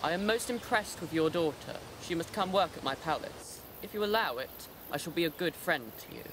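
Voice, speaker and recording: A man's voice: on the high side, lively intonation, fairly quick, natural voice. A man playing a proud, inquisitive king in a fairy tale, speaking in formal, courteous phrases. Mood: impressed, gracious. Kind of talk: fairy tale character acting. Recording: amateur recording with clear background noise.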